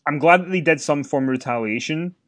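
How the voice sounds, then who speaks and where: A man's voice: fairly high pitch, fairly quick. A man co-hosting a wrestling podcast from a hotel room, chatting casually and rambling with many fillers and restarts. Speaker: podcast co-host. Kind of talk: casual wrestling recap chat.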